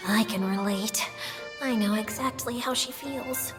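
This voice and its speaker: A woman's voice: fairly high pitch, a little slow, music in the background, natural voice. A woman voicing a nervous, stage-shy, easily rattled young woman in a video game, fretful and dramatic. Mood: sympathetic.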